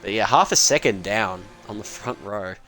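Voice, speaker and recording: A man's voice: on the low side, expressive, moderate pace, sharp tone. A young Australian man doing live sim racing commentary, chatty and joking, with casual swearing.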